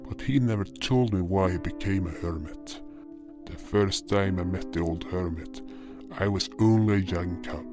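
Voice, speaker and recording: A man narrating a scripted first-person fantasy story as its storyteller and voice actor. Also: sits low. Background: music.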